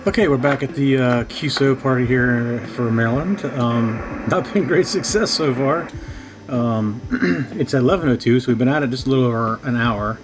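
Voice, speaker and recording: A man gives an informal walkthrough with clear music behind him. His delivery is low-key and halting, as if working things out while he speaks. His pitch sits in the middle range for a man. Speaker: amateur radio operator hosting a video. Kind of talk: casual unscripted walkthrough of radio equipment. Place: home radio shack.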